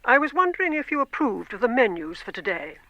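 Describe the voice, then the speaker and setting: A woman acting an older housekeeper in a radio drama, with an English accent and a measured, icily polite manner. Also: a little high, sing-song, bright, forceful tone, lo-fi sound, exaggerated voice. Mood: icily deferential. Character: gaunt, severe older housekeeper, coldly formal and deferential.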